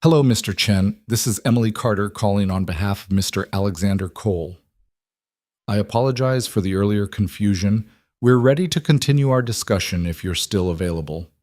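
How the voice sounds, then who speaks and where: A man's voice: on the low side, a little fast, a little mellow, dry recording, studio quality, close to an everyday voice. A man doing a shy, timid young cleaner woman. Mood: steady. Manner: timid, hesitant, babbling.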